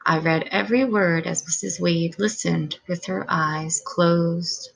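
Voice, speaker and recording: A woman's voice: fairly low pitch, fairly even intonation, slow pace, gravelly. Woman reading a children's storybook aloud. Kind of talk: children's storybook read-aloud, scripted narration.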